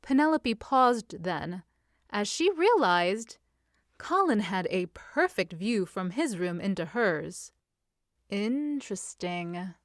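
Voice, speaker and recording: A woman reading fan fiction aloud as an expressive, scripted story narration. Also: medium pitch, slow pace, no room sound, clean studio sound.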